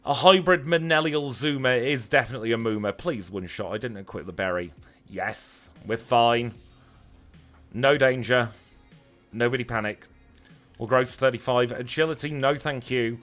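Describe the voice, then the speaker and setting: A man's voice: medium pitch, normal speed, a bit bright, faint music behind. A man with a Lancashire accent chatting casually as he streams a video game, wry and self-deprecating. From Lancashire, northern England. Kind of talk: casual livestream chat over gameplay.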